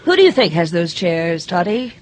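An actress playing a fretful, catty older society wife in an audio drama, fussy, complaining and gossipy. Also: female voice, lowish voice, very animated, moderate pace, slight background noise. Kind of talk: audio theater acting.

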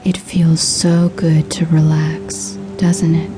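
A woman narrating a scripted guided sleep hypnosis in a soothing, hushed, breathy voice with measured pauses. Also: low voice, level tone, music in the background.

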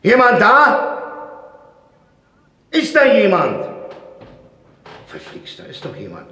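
A man's voice: sits high, wildly swooping pitch, takes it slowly, sharp tone, breathy edge, exaggerated voice. An actor playing a humble, fretful night watchman with a homely, polite way of talking, in a radio play. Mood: suspicious. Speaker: actor in a crime radio drama. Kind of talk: German crime radio play acting.

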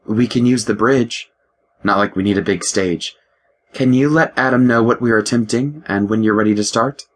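A man reading an audiobook, voicing a wary young man who talks quietly and teases with a guarded, wry tone. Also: mid-range voice, moderate pace, voice pushed up, close to an everyday voice. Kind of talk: audiobook character voice.

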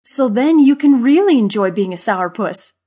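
A woman's voice: mid-range voice, animated, a little slow, darkish tone, slightly rough. A woman voicing a cheerful, curious young male caterpillar in a children's story, with an eager, bubbly manner. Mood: teasing, cheerful.

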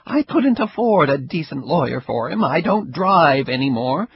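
A man voicing a frail, stubborn elderly grandmother with a sharp tongue, in a husky raised voice. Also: on the high side, sing-song, normal speed, pitched above the performer's own voice. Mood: aggrieved. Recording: dull lo-fi recording.

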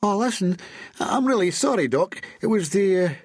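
A man's voice: high voice, animated, normal speed, breathy edge, dry recording, clean studio sound, voice pushed up. A man voicing a flustered, apologetic young detective constable with a pleading, whining manner. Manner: stammering, pleading.

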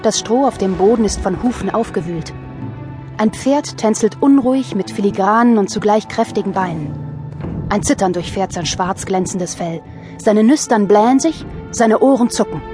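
Female storyteller, scripted audio drama narration, medium pitch, background music. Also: moderate pace.

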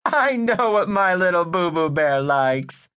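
A woman's voice: fairly low pitch, animated, unhurried, a bit bright, lo-fi sound, voice pushed up. A woman voicing a sweet, doting mother calling to her son. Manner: sing-song, affectionate.

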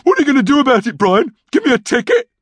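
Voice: sits high, big pitch swings, unhurried, no echo, voice pushed up, heavily acted. A narrator voicing a grumpy, put-upon twelve-year-old boy who snaps irritably and sarcastically in a children's storybook. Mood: snappy, sarcastic.

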